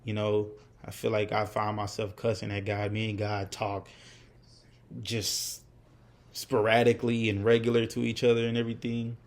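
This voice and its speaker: Young guy in his 20s just talking to the camera, lowish voice, rambly and honest, lots of "you know". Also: completely flat delivery, moderate pace. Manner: rambling, with many fillers and restarts; candid and reflective. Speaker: vlogger. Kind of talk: casual unscripted talk to camera.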